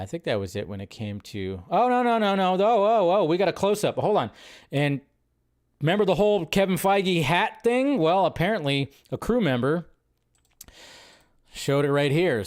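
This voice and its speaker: A man hosting a casual live commentary show, excitable and chatty, with frequent fillers, restarts and mild swearing. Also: a little high. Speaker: show host.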